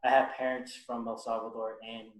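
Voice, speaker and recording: A man's voice: medium pitch, little pitch movement, reverberant, poor audio. A young American man with an American accent, speaking earnestly about his own life, often restarting his sentences. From United States. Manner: earnest, halting, many restarts and fillers. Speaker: guest speaker. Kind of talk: reflective personal talk.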